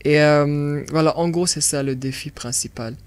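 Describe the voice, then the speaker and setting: A young film director from Burundi speaks in a radio studio interview. His voice sits fairly high for a man. He explains things eagerly and often repeats words while he works out a thought. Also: normal speed, clear, resonant tone.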